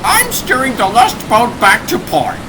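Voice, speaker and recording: A woman's voice: on the high side, big pitch swings, unhurried. A woman voicing a fretful cartoon bird sea captain who punctuates phrases with squawks, in a comic dub over noise. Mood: puzzled. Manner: squawking tic at ends of phrases. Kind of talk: comic book dub acting.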